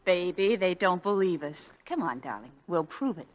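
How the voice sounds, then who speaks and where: A woman's voice: lowish voice, very animated, normal speed, muffled recording. A woman playing a devoted, emotional young wife and mother in a 1940s radio drama. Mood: tender coaxing. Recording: vintage 1940s radio, faint music behind.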